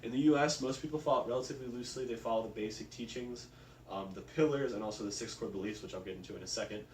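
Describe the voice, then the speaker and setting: A man's voice: mid-range voice, rapid-fire. A man giving an informal spoken presentation, explaining things in a casual, loosely worded way. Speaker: presenter of a research report. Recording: roomy, distant mic, faint background noise.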